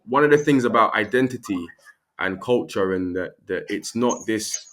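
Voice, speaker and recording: A man's voice: lowish voice, expressive, normal speed, fairly bright tone. A British man, a podcast guest, explaining his points earnestly and often checking in with "right?" and "okay?". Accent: British English. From England. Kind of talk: conversational podcast interview.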